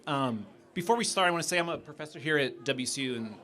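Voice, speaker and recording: A man's voice: a little high, animated, quick pace, a crowd in the background, background noise. An American man, a professor, putting a polite, discursive question at a live public debate. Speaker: sociology professor, audience questioner.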